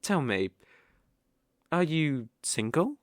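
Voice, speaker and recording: A man's voice: on the high side, sing-song, dry recording, studio quality. A British man playing a sly, possessive, lovestruck fox-spirit mage in an ASMR audio roleplay, coaxing and easily flustered. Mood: possessive, smug. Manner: coaxing, slow, with eager flustered outbursts.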